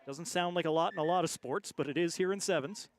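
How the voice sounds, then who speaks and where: Measured man with a highish voice. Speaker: colour commentator. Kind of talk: live sports analysis.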